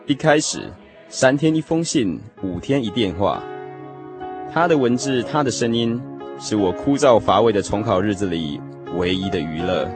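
An actor playing a lovesick young man, telling his lost first love in an expressive, emotional radio drama style. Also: lowish voice, moderate pace. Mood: wistful longing. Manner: expressive, sighing, emotional storytelling. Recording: music playing behind the voice. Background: music.

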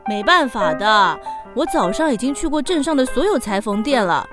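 A woman's voice: a little high, very animated, moderate pace, over music. A woman playing a bossy, practical young eldest sister in a children's radio drama. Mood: resigned.

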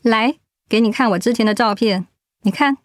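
An actress playing a kind, attentive middle-aged housewife talking in a radio drama. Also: female voice, on the high side, normal speed, no echo, close to an everyday voice. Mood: nostalgic. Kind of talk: radio drama acting, conversational.

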